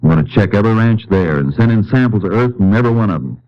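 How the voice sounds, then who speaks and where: Actor as laconic American Texas Ranger, muffled old radio. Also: male voice, fairly low pitch, lively intonation, rapid-fire, natural voice. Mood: dry, determined. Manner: dry, clipped, folksy and understated. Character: laconic, determined Texas Ranger investigator, narrating his case. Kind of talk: 1950s radio drama acting and narration.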